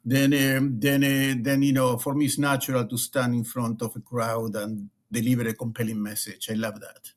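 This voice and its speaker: A man's voice: medium pitch, flat delivery, moderate pace, few pauses. A middle-aged man from Argentina with an Argentine Spanish accent, speaking as an interview guest and often restarting and repeating words. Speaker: guest, leadership consultant. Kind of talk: conversational interview.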